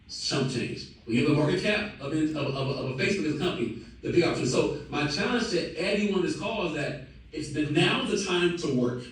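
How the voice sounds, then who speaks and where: Pumped-up guy in his 30s hyping his team, kinda high voice, big echo, sounds far from the mic. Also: animated, fast. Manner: hyped, repetitive, slangy and exclamatory. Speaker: company leader addressing a team call. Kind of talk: motivational speech on a call.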